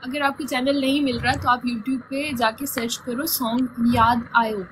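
A woman's voice: high voice, steady tone, measured pace, noisy background. A young woman with a sore throat chatting lightly as a guest on a live stream, speaking into a phone. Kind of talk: casual live stream chat. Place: indoor room, video call.

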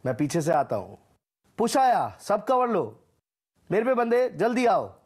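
Husky, high-pitched man barking game callouts. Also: sing-song, measured pace, darkish tone. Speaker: gaming creator voicing a game voice pack. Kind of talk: short scripted gaming callouts and catchphrases.

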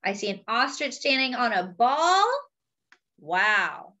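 Unhurried, higher-voiced woman reading to children. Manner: expressive, exclaiming, with pauses for emphasis. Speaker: children's storybook reader. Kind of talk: read-aloud with commentary on pictures for young children.

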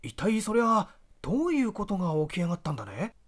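Man acting blunt high-pitched country fellow. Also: huge pitch swings, quick pace, full character voice. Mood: surprised, questioning. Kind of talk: acted audiobook dialogue.

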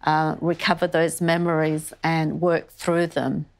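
Older female artist, low-pitched and fairly flat, reflective interview delivery, candid and explanatory. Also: measured pace. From born in northern Greece, moved to Australia as a child. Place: indoor wood-panelled art studio. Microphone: lapel mic.

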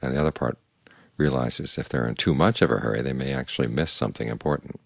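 An older man, a Buddhist monk, giving a calm, measured evening meditation talk in plain words. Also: a bass voice, creaky, no room sound, clean studio sound.

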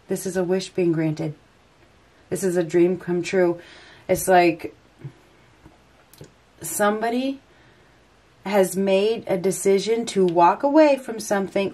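A woman's voice: mid-range voice, darkish tone. A woman with a head cold and stuffy nose, giving an unscripted tarot reading and thinking aloud as she turns the cards. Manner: rambling, hesitant, thinking aloud. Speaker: tarot reader. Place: indoors at a table.

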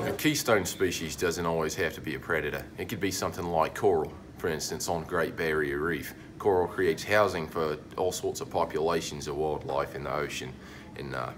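Joking low-voiced teenage boy in class. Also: a little hiss or hum. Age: teens. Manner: loose, joking, casual filler words. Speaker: student presenter. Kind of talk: joking school project video.

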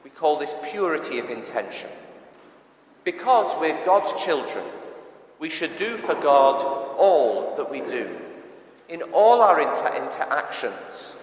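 A man's voice: on the high side, sing-song, a little slow, slight background noise. Echoey church sermon from a male preacher. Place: large reverberant church interior. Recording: echoey church with long reverb.

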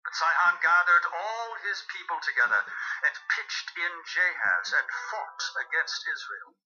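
An actor playing an impassioned warrior leader of ancient Israel in a dramatized scripture reading, declaiming emphatically in archaic diction. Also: male voice, on the high side, unhurried, sharp tone. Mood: indignant, forceful. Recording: muffled lo-fi audio with music.

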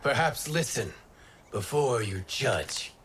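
A calm, earnest wind god. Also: male voice, medium pitch, takes it slowly. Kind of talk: video game cutscene acting. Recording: music score underneath.

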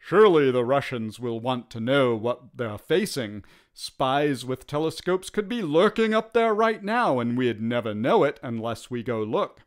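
A man's voice: mid-range voice, expressive, a little slow, hardly any pauses, lightly acted. A man voicing a sceptical, sensible British soldier in a dour, practical manner for a storybook. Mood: persuaded.

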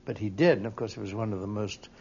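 A man's voice: lowish voice, fast, darkish tone, slightly rough. A man giving a reflective interview recollection in a hesitant manner. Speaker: interviewee, radio producer. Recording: dull, muffled interview recording.

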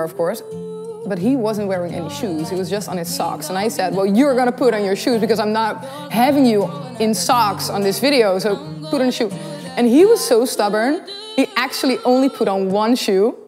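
Young woman, Dutch accent, cheerful vlogger, roomy studio sound with soft music bed. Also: on the high side. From Netherlands. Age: young adult. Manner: bubbly, giggly, animated storytelling. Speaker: YouTube singer and host. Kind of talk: casual chat to camera. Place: small studio in front of a blue backdrop. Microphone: studio condenser mic on the desk.

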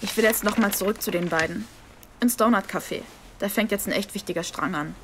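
An actress playing a guarded young female journalist who recounts events calmly with hesitations. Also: mid-range voice, a little fast, a little hiss or hum, close to an everyday voice. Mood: reflective. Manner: calm, reflective narration with hesitations. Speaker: Emilia. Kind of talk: audio drama acting.

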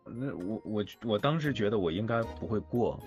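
A man's voice: lowish voice, expressive, moderate pace, darkish tone. A man, a voice actor, chatting casually in a modest, self-deprecating and hesitant manner. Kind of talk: casual group chat. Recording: muffled online voice chat, music behind. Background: background music.

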